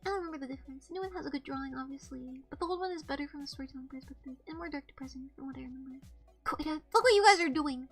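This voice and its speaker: A woman's voice: high voice, rapid-fire, soft background music. A young woman with a slightly nasal voice, chatting casually on a live stream, rambling and swearing with lots of "like" and "bro". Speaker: streamer host.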